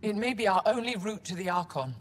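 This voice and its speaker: A woman's voice: medium pitch, lively intonation, long reverb tail, close to an everyday voice. Woman acting grave, authoritative elder alien scholar. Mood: indignant, commanding. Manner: authoritative, measured, formal. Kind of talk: dramatic video game acting.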